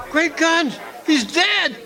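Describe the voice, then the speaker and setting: A man playing an excitable, friendly party guest in an amateur radio mystery, with an exclamatory, animated delivery. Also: very high voice, very slow, crowd noise, full character voice. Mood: startled. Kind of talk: amateur old-time radio acting.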